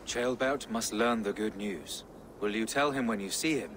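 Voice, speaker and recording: A man playing a courteous, pious medieval bishop in a video game, speaking in a formal, measured manner. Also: fairly low pitch, fairly bright tone, slight background noise, close to an everyday voice. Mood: gracious. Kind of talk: video game dialogue acting. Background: music.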